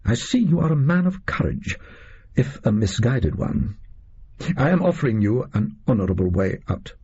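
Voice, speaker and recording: Storybook gentleman detective, man acting: shrewd, assured, condescending, very breathy husk, mellow, lively delivery. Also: medium pitch, moderate pace, highs cut off, exaggerated voice. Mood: calm, wry. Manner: precise, theatrical, condescending.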